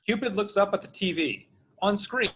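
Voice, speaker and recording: A high-pitched male reader with very lively intonation. He announces script directions in short, clipped phrases. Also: normal speed. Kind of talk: reading script directions aloud.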